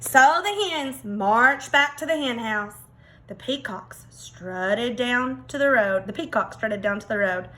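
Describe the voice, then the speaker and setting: A woman reading a children's storybook aloud like a teacher, expressive, with dramatic emphasis. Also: a little high, takes it slowly.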